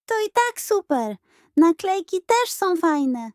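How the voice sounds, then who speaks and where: Woman playing high-pitched, excitable little boy. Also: takes it slowly, no room sound, studio quality, heavily acted. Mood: delighted. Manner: breathless, wide-eyed, enthusiastic. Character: excited, eager little boy, younger brother. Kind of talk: children's audiobook character voice.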